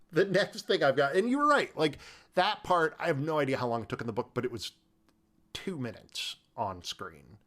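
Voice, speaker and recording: A man co-hosting a podcast, casually discussing film in an animated, joking way with rambling asides. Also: a little high, moderate pace. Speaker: podcast co-host.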